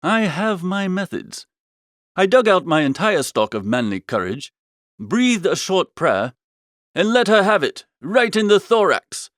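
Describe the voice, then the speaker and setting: A man's voice: high-pitched, sing-song, unhurried, fairly bright tone, no echo, studio quality. A man narrating a comic first-person story in a jaunty, slangy storytelling manner. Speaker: audiobook narrator.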